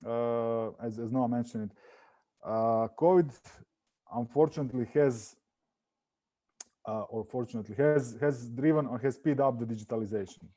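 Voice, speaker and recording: A man's voice: medium pitch, normal speed, long pauses, mellow. A man from Croatia with a Croatian accent, speaking off the cuff as a panelist in a business discussion. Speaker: business panelist, partner of a messaging app.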